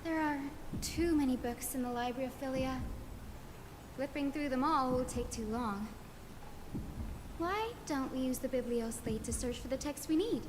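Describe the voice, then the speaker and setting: A woman playing a young, knowledgeable, chatty assistant lecturer in a game, articulate and expressive as she explains things. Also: high voice, normal speed, background noise, natural voice. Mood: cheerful, informative. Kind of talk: scripted game dialogue, explanatory storytelling. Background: background music.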